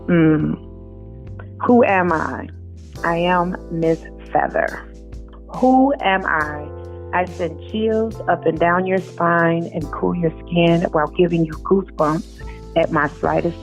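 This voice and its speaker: A woman's voice: lowish voice, vocal fry. A woman author speaking informally as a guest, recorded in dull lo-fi audio with music behind her. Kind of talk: reading aloud and informal interview talk.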